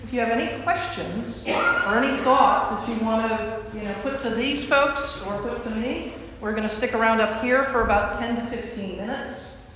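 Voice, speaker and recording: A woman's voice: on the high side, moderate pace, a bit bright. A woman priest speaking to a gathering as host and narrator in a church. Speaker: priest and narrator of a staged reading. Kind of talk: informal welcome address, then scripted narration. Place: large indoor church space. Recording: echoey church hall from far off, faint noise.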